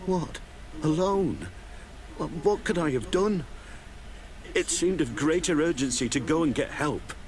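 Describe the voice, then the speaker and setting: A man's voice: fairly high pitch, animated, a little slow. An actor playing an anxious, evasive guard in a video game, stammering and deferential as he reports to his superiors. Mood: defensive. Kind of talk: video game dialogue acting.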